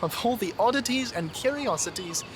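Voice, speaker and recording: A woman's voice: fairly high pitch, expressive, normal speed, noisy background, natural voice. A woman playing an earnest, proper young boy marten in an audio drama. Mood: exasperated.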